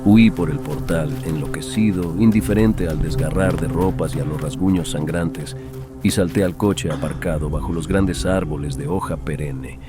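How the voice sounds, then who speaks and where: A man with a low, deep voice narrates a horror story in a dramatic, scripted audiobook style. Music plays clearly behind him. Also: normal speed. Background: background music.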